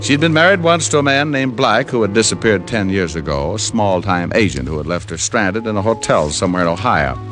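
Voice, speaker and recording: An actor playing a tough, wry private detective with an American accent and clipped, needling delivery in an old radio crime drama. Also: male voice, fairly low pitch, brisk, few pauses, fairly bright tone, natural voice. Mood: wry, matter-of-fact. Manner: wry, needling, clipped hard-boiled talk. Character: tough, wry male private detective, hard-boiled narrator. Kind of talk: 1940s-50s radio detective acting and narration. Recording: old radio recording, music behind.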